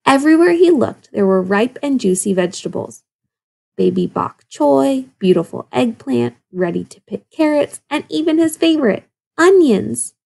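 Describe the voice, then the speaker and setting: Woman teacher reading aloud, creaky voice. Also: high-pitched, lively intonation, normal speed, a little mellow. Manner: instructive, questioning asides to children. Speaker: second-grade teacher. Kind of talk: expressive children's story read-aloud.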